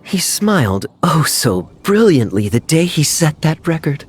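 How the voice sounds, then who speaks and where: An actor playing a suave, teasing adult male knight in a game, smooth and given to chuckling. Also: on the high side, very animated, unhurried, heavily acted. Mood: wry. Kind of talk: game cutscene voice acting.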